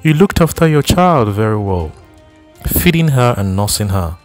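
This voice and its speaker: A man voicing an older village woman who laments slowly and at length to a grieving mother in a storybook reading. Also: on the low side, big pitch swings, darkish tone, soft background music. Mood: lamenting. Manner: slow, mournful, long-winded.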